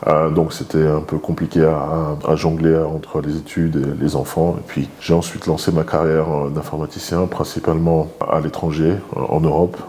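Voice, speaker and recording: Deep mellow-voiced man in his forties. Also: flat delivery, moderate pace, hardly any pauses. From Congo. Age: 40s. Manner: thoughtful, with many hesitations and repeated words. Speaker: guest, father of the host. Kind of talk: relaxed interview talk.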